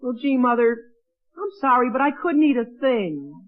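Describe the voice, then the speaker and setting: An actor playing a flustered, evasive teenage son who stammers, in 1940s radio sitcom style. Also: male voice, extremely high pitch, very animated, moderate pace, loud music behind the voice. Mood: sheepish. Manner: stammering, evasive, exclaiming gee whiz. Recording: tinny band-limited old radio recording.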